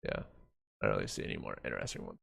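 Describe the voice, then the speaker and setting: A man doing casual live reaction commentary as a streamer, excitable, joking and prone to swearing. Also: rumbling low, racing, vocal fry, husky, no room sound, clean studio sound. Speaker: streamer reacting to a fan motion comic.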